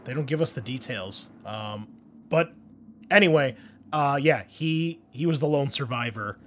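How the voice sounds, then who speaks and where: A man's voice: medium pitch, lively intonation, measured pace, a bit bright, slight background noise. A man working as a game master, casually explaining a tabletop game's story in a hesitant way as he pieces details together from memory.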